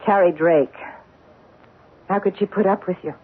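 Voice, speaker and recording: Muffled vintage radio: husky female sleuth, a detective's determined wife. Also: lowish voice, normal speed. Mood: defiant, tense. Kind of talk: 1970s radio drama acting. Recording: muffled, phone-like 1970s radio broadcast recording.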